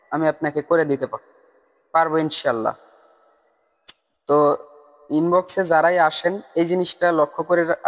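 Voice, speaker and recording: A man giving a casual, insistent explanatory voice-over for a tutorial video. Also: fairly high pitch. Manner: insistent, repetitive, addressing viewers as brother. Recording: long reverb on the voice. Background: voice-over recorded separately from the screen capture.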